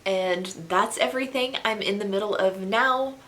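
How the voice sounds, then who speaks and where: A young woman vlogging about books in a relaxed, chatty way, her voice stuffy and congested. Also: mid-range voice, slight background noise. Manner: chatty, unhurried. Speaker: book vlogger. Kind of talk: casual vlog chat. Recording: echoey room.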